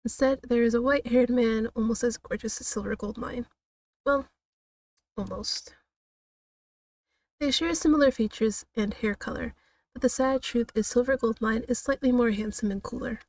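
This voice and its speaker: A woman's voice: on the high side, normal speed, a little mellow, dry recording, far from the mic. A woman streaming a visual novel, switching between read-aloud narration and casual, giggly, self-deprecating commentary.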